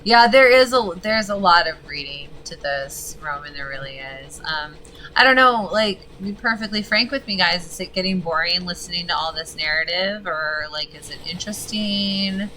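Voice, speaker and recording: A woman streaming a game, chatting casually with viewers and reading game text aloud; her voice is gravelly and she swears freely. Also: fairly low pitch, normal speed, pushed and bright, slight background noise, low-quality recording. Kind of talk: casual gaming stream commentary.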